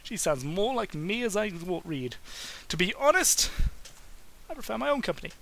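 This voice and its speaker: A man's voice: high-pitched, big pitch swings, normal speed, vocal fry, a bit bright. A man giving a mocking, theatrical dramatic reading of a book, often tripping over his words and tossing in asides.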